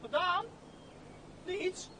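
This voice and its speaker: A man's voice: very high voice, animated, extremely slow, bright, forceful tone, exaggerated voice. An actor playing a naive, chatty young man, a steward's simple son, in a radio play. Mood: flustered, naive.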